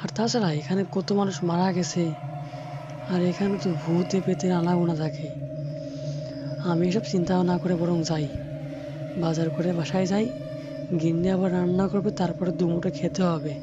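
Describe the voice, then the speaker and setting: A man's voice: high-pitched, flat delivery, normal speed, pauses often, lightly acted. A man voicing a poor, timid village husband in a cartoon, resigned and anxious. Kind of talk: Bengali cartoon voice acting. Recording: dull lo-fi audio under clear music.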